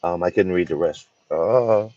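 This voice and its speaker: A man from the Bahamas co-hosting a casual podcast chat, halting and repeating words with many fillers. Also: sits low, warm tone, dull audio. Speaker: co-host.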